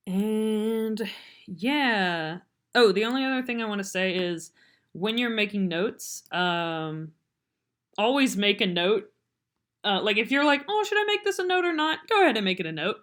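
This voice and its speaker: A woman talks through a software tutorial in a casual, unscripted, rambling and self-deprecating way. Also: lowish voice. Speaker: podcast editor giving a software tutorial. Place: voice-over on a computer screen recording.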